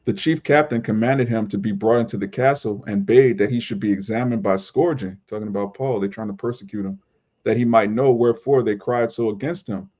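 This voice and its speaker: A man's voice: fairly low pitch, brisk, dark tone. A man reading scripture aloud for a study group, in dull lo-fi audio. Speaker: scripture reader.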